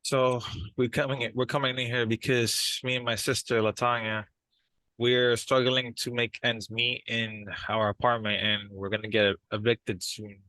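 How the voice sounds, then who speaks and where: Man acting as defensive jobless brother, monotone, bright tone. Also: medium pitch, no room sound, close to an everyday voice, low-quality recording. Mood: worried. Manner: defensive, halting. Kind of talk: role-played counseling session.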